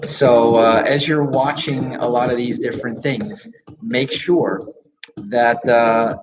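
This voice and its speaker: A man hosting a live radio talk show, with an energetic, salesy way of talking and frequent fillers. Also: medium pitch, slow pace, dull audio, over music. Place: radio studio.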